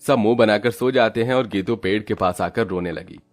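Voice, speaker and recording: A man's voice: fairly low pitch, fairly quick, faint music behind. A man narrating a scripted moral story as its storyteller.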